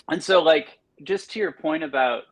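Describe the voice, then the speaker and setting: A man's voice: on the high side, unhurried, poor audio. A man in his early forties hosting a casual podcast chat, rambling with many restarts and fillers. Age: 40s. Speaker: podcast host.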